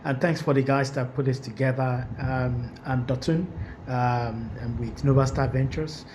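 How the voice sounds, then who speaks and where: Nigerian-accented male panelist on a video call, mid-pitched voice with audible background noise. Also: steady tone, measured pace. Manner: hesitant, restarts often. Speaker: venture capital panelist. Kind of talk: panel discussion.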